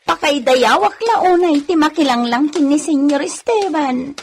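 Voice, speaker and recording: A woman playing a high, coy Filipino village maiden. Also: expressive, measured pace, background noise. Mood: bashful, flattered. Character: bashful, giggly unmarried village woman of thirty. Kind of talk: comedy radio drama acting. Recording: music bed under the voice.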